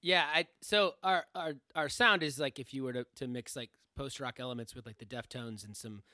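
A man's voice: medium pitch, normal speed, bright, forceful tone, dry recording, studio quality. A man in his thirties, a podcast host and band singer, talking casually and earnestly, often restarting his phrases. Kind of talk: casual conversation.

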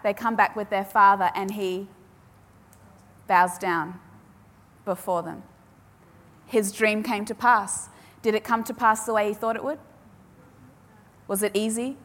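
A woman preacher, a native Polish speaker, giving an emphatic sermon to a congregation and repeating key phrases with dramatic pauses. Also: medium pitch, fairly even intonation, fairly bright tone. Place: large hall with audience. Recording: long hall reverb.

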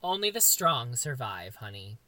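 A young man with a very high voice, co-hosting a casual chat and joking in a campy, playfully dramatic way. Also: big pitch swings, moderate pace, pushed and bright, no room sound. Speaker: podcast co-host.

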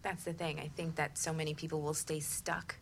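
A woman's voice: deep voice, little pitch movement, fairly quick, gravelly, slight background noise. A woman hosting a talk show, giving conversational advice in an emphatic manner.

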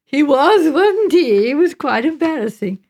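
Lively, teasing young sister, as a woman narrator plays her. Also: mid-range voice, moderate pace. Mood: teasing, coy.

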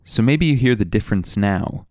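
A young man narrating a scripted documentary, measured and explanatory, often putting questions to the listener. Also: on the low side, animated, darkish tone. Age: young adult. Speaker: student radio producer and narrator. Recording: faint music underneath.

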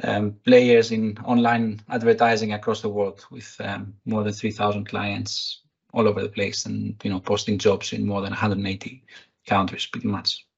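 A man with a Greek accent, a recruitment technology executive answering interview questions in a halting way, full of fillers and restarts. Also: lowish voice, completely flat delivery, gravelly, husky, lo-fi sound. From Greece. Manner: hesitant, many fillers and restarts.

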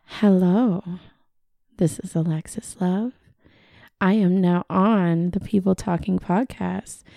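A woman guesting on a podcast, chatty and loud-voiced, recording her intro plug in a studio. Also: lowish voice, fairly even intonation, slow, creaky, no room sound. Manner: chatty, self-described loud voice. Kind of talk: casual chat and recorded intro plugs.

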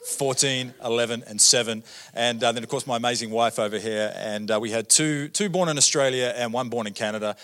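Man, New Zealand accent, guest preacher, casual joking sermon, filler-heavy, mid-pitched voice. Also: fairly quick, fairly bright tone. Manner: chatty, joking, many fillers and "right?" tags. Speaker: guest pastor. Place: church auditorium.

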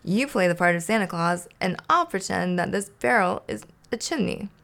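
A woman's voice: mid-range voice, lively intonation, moderate pace, creaky, a bit bright. A woman voicing a big childlike male bird, earnest, worried and plaintive, in a children's storybook. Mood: bossy, eager.

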